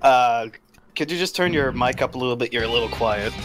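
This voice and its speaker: A man's voice: medium pitch, a little fast, fairly bright tone, faint music behind. A man from New York chatting on a gaming stream, playful and full of teasing questions. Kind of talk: casual banter.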